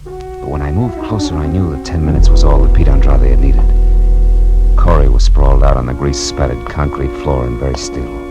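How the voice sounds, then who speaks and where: A man's voice: very deep voice, a little fast. An American man playing a tough, wisecracking private detective in an old radio crime drama, speaking in clipped slang. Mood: tense narrating. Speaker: radio drama lead actor and narrator. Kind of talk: old-time radio crime drama, first-person narration. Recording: old radio recording, loud music behind.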